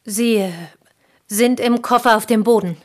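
An actress playing a reserved provincial piano teacher and confidante in a German radio play, speaking with courteous restraint. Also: female voice, a little high, steady tone, moderate pace, a little mellow, natural voice. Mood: restrained.

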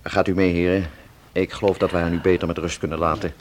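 Casting: male actor for soothing physician in radio serial, low voice, measured, polite authority. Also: little pitch movement, slight background noise, close to an everyday voice. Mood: soothing, composed. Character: calm, reassuring doctor at a bedside. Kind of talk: Dutch radio thriller serial acting.

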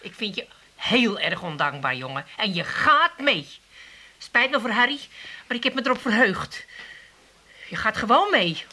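Bossy, sharp-voiced housewife. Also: female voice, fairly high pitch, sing-song, normal speed, long pauses, exaggerated voice. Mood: scolding. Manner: blunt, no-nonsense, exclaiming. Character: bossy, down-to-earth working-class woman of the family. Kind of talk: comic radio drama acting.

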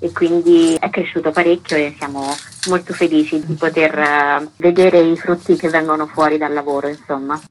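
A woman's voice: deep voice, little pitch movement, moderate pace, few pauses, slight background noise, poor audio. A woman speaking spontaneously as a guest in a radio interview, as a festival's artistic director.

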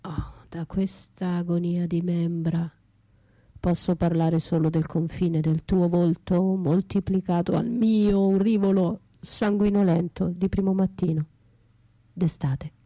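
An actress playing an anguished, bitterly lyrical battered wife left for dead, in an intimate, halting radio theatre monologue that drifts into whispers. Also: female voice, lowish voice, fairly even intonation, dark tone. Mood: fading, delirious. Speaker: stage and radio actress performing her own monologue. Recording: roomy echo, faint music behind.

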